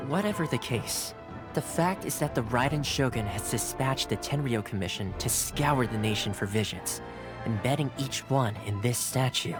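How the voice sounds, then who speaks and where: A man's voice: mid-range voice, animated, normal speed, lightly acted. Game character: courteous young male retainer, played by a man, formal and articulate, composed with a playful streak, music underneath. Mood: solemn, explanatory. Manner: polite, formal, articulate phrasing. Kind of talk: scripted game cutscene dialogue. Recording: music behind the dialogue.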